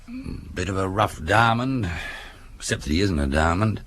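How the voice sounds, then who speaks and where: A man's voice: low voice, expressive, normal speed, a bit bright. An actor playing a dry, dutiful police inspector who reports to his chief in clipped, wry phrases in a radio drama. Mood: wry, reporting.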